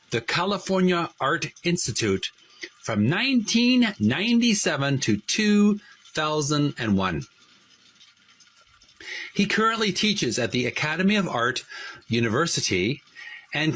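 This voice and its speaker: A man reading aloud from a book as a host, with casual asides, stretching words and pausing mid-phrase. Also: fairly high pitch, fairly bright tone, slightly rough, low-quality recording. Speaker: host of a retro gaming book-reading channel.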